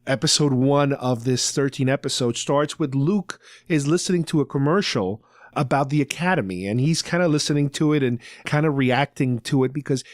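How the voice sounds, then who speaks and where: A man's voice: mid-range voice, normal speed, dry recording, studio quality. A man co-hosting a podcast, casually recapping a story in an explanatory, conversational way. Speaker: podcast co-host.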